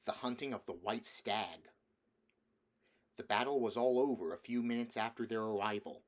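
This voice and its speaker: Male audiobook reader, low register, scripted storybook narration. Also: normal speed.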